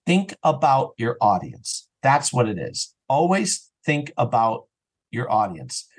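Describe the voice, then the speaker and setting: A man's voice: medium pitch, very animated, takes it slowly, husky. A man speaking as an interview guest on a podcast in a casual, opinionated, emphatic conversational way. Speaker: interview guest, audio drama writer and actor.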